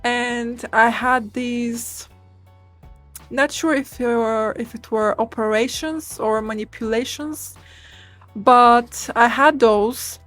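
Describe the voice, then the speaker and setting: A woman's voice: fairly high pitch, steady tone, slow pace, fairly bright tone, faint music behind. A woman in her twenties from Bulgaria, telling personal stories at home in a casual, rambling way full of fillers and restarts. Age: 20s. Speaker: vlogger. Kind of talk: casual personal storytelling to camera.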